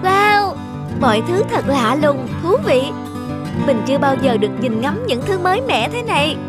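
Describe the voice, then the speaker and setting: A woman voicing a cheerful, optimistic older-sister peach tree in a cartoon, speaking in a gentle, soothing manner. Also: high voice, big pitch swings, normal speed, over loud music. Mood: delighted. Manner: soothing, wondering. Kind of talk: animated cartoon dialogue.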